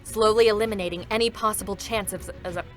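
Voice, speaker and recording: A woman voicing a reserved, stoic female combat android, narrating in a calm, measured way at a live concert reading. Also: medium pitch, natural voice. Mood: restrained. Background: faint music.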